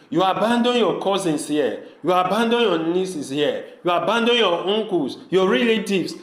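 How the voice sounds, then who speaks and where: A man with a Nigerian accent playing an earnest village man pleading with his brother in an amateur audio drama, asking repeated questions. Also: high-pitched. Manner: pleading, repetitive rhetorical questions. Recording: echoey room.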